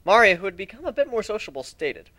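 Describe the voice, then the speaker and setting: A man's voice: high-pitched, very animated, fast talker, pushed and bright, dry recording. A man giving an amateur dramatic reading of a fan fiction story in a theatrical manner. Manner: theatrical, acts out dialogue.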